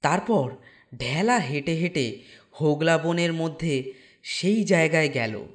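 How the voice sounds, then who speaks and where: A man narrating a scripted horror story as storyteller, with deliberate phrasing. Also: on the high side, expressive. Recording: music bed behind voice.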